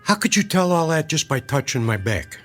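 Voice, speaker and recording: An actor playing a gruff, husky-voiced working man as a clinic patient in a radio drama. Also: fairly high pitch, very animated, brisk, music in the background. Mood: curious.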